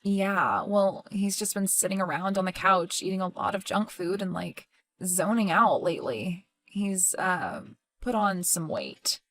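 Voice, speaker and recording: A woman's voice: on the low side, animated, unhurried, fairly bright tone. A woman playing a concerned, judgmental sister in dramatic dialogue, earnest and apologetic in manner. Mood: hesitant, confiding.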